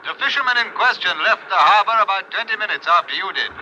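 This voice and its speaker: An actor playing a police inspector calling over a radio link in a 1950s radio drama, in a brisk, formal manner, on an old noisy recording. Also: male voice, high-pitched, expressive, sharp tone, full character voice. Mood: urgent.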